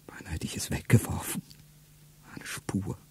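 A middle-aged man speaking a first-person radio play monologue in a low, intimate way that often sinks to a whisper. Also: normal speed, dark tone.

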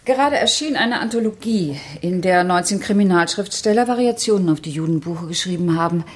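An actress playing a harried, indignant forty-year-old schoolteacher in a radio crime drama, articulate and easily flustered. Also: female voice, mid-range voice, a little hiss or hum, lightly acted. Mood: composed, lecturing.

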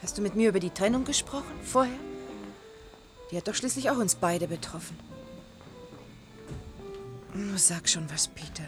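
An actress playing an intimate, insistent, sleepless wife in a German science-fiction radio play, full of emotion. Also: female voice, medium pitch, normal speed, long pauses, natural voice. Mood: defensive, insistent. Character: pleading, euphoric wife who never sleeps. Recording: vintage radio play, loud music bed. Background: music.

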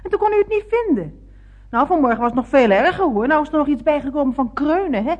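A dull, band-limited old radio recording carries a scatterbrained, cheerful woman in a comedy serial. Her voice is high and swoops freely as she chatters along in a giggly, naive way. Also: sing-song, fast talker. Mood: chatty, puzzled.

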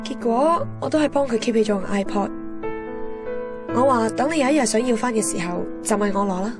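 Frank young woman, slightly high voice. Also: animated, fairly quick, music in the background. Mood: reflective. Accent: Hong Kong. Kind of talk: radio drama narration and dialogue.

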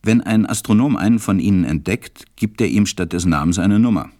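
A very low-voiced, serious pilot, played by a man, tells his story in a radio play. He speaks in a measured, deliberate way, like someone recalling what happened to him. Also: dark tone, no echo, studio quality, close to an everyday voice. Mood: thoughtful, measured. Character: stranded adult male pilot, serious narrator recalling events. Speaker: narrator. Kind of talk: storytelling narration in an audio drama.

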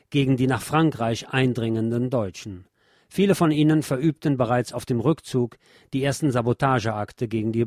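A man reading scripted documentary narration in a measured, solemn, literary manner. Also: medium pitch, a little mellow, no echo, studio quality.

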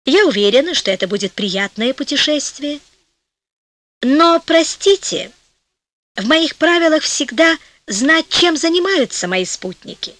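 A woman's voice: high voice, lively intonation, unhurried, fairly bright tone, dry recording, voice pushed up. A woman voicing a dignified, scrupulous noble lady spirit in a storybook, speaking courteously and formally. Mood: courteous, reserved.

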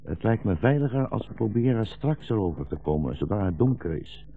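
An actor playing a weary, bitter old blind rabbi fleeing war, solemn and brooding, in a 1960s Dutch radio drama. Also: male voice, on the low side, very animated, brisk. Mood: grave. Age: older. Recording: dull, muffled 1960s radio recording.

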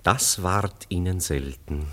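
Suave, deep-voiced ironic confidant. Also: male voice, slow, bright, forceful tone, heavily acted. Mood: wry. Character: urbane, teasing male confidant, ironic man of society. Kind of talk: German radio play acting.

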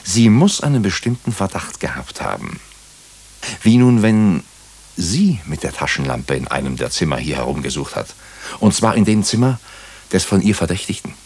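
An actor playing a wry doctor and amateur sleuth who also narrates a German radio drama, with a husky, gravelly voice and a dryly humorous manner. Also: male voice, low voice, sing-song, moderate pace, a little mellow, heavily acted. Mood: intent, persuasive.

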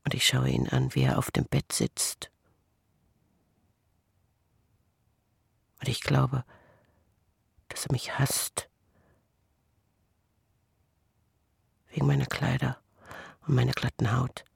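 An actor playing a haunted, doubting twin brother in an intimate radio drama monologue, speaking in a husky, hushed, halting way. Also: male voice, medium pitch, level tone, no echo, clean studio sound, exaggerated voice. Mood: uneasy, hushed. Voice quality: husky, breathy, creaky.

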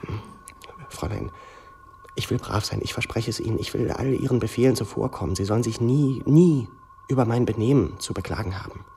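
An actor playing an effusive young pupil in a radio drama, speaking in a florid, hesitant, imploring way. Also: male voice, mid-range voice, brisk, dark tone, slight background noise, natural voice. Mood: desperate pleading. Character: pleading, effusive, insolent young male pupil.

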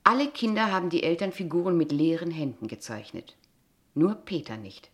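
Radio drama casting: actress for a young female kindergarten teacher, low-pitched, formal and measured, politely relentless in her questioning. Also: lively intonation, natural voice. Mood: measured, pointed. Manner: measured, formal, pointed, quietly persistent. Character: polite, insistent, probing kindergarten teacher, a young unmarried woman. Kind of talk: German radio play dialogue acting.

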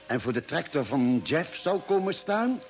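A man's voice: a little high, big pitch swings, measured pace. A man acting in a radio play as a space crewman, narrating the expedition in a scripted dramatic style. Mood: grave recounting. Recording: old radio broadcast. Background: music.

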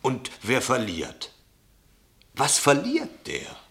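A man's voice: mid-range voice, huge pitch swings, slow, a bit bright, exaggerated voice. A man acting in a radio play as a down-to-earth sceptical outsider, questioning and incredulous. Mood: puzzled probing.

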